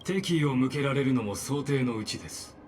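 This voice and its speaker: A man plays a suave schemer from an anime-style game, unruffled and elaborately polite. His mid-pitched voice moves widely up and down, with faint ambient noise behind it. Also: animated, very fast, darkish tone, natural voice. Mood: coolly deferential. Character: suave, coolly polite male schemer villain.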